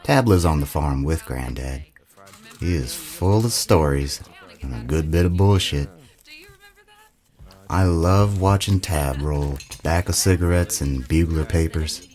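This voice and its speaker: An actor playing an earnest seventeen-year-old farmhand who narrates in a reflective, plainspoken way, as in a scripted audio drama. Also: male voice, a bass voice, a little mellow. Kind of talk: scripted first-person narration.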